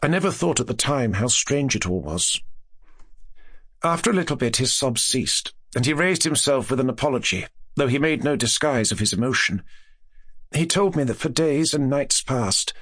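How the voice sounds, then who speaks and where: A man reading classic gothic fiction as an audiobook narrator, in a measured, expressive, literary way. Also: medium pitch, no room sound.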